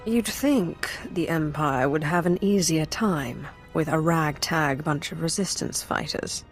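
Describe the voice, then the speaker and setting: Cool, gravelly, sardonic warrior woman. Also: low voice, a little slow, faint music behind. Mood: dry. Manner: dry, self-assured, sardonic. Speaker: video game voice actor. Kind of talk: scripted game cutscene dialogue.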